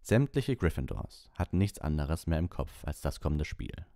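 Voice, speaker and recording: Low mellow guy reading an audiobook story, dry sound. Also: very animated, moderate pace, vocal fry, no room sound. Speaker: audiobook narrator. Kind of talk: scripted storybook narration.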